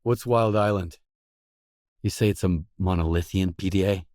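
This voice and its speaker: An actor voicing a gruff, guarded stalker mercenary in a video game, blunt, terse and no-nonsense. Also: male voice, sits low, moderate pace, a little mellow, no echo, clean studio sound, close to an everyday voice. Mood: guarded, matter-of-fact. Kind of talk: video game dialogue lines.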